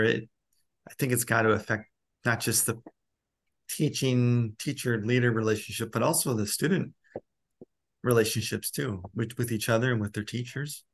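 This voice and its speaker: A man's voice: medium pitch, a bit bright. A middle-aged man interviewing in a conversational way, reflective and tentative as he thinks aloud. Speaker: interviewer and school principal.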